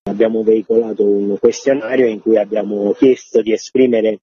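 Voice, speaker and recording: A man's voice: fairly low pitch, steady tone, moderate pace, dark tone, breathy edge. A man giving informal, explanatory interview answers as an organizer, talking in long, loosely linked sentences. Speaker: interviewed organizer of a farmers' gathering. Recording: dull, muffled lo-fi radio sound.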